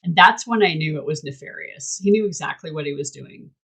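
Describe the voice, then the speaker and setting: A woman's voice: low voice, a little fast, a bit bright, breathy edge. A woman hosting a candid casual chat, talking emphatically and bluntly and swearing freely. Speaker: host.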